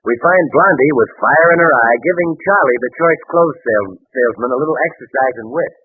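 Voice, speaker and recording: A man's voice: mid-range voice, lively intonation, brisk, breathy edge. A man narrating scene changes as announcer in a scripted 1930s radio comedy style. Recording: tinny 1930s radio recording with music.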